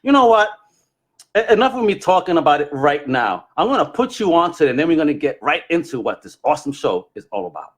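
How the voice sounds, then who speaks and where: Male podcast host, highish voice, very lively intonation, slangy, exuberant. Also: fast talker. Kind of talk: casual hype-filled chat.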